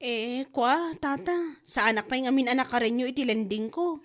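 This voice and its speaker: A woman's voice: high voice, moderate pace, natural voice. A woman playing a free-spending show-off in a comedy drama, speaking with an Ilocano Filipino accent and exaggerated delivery. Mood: pleading.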